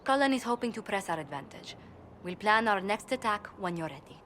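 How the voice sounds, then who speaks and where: An actress voices a lady diplomat in a fantasy video game. She is courteous, formal and firm, in a medium-pitched voice. Faint noise sits behind her. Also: animated, fairly quick, a bit bright, close to an everyday voice. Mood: reassuring. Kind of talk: dramatic video game dialogue.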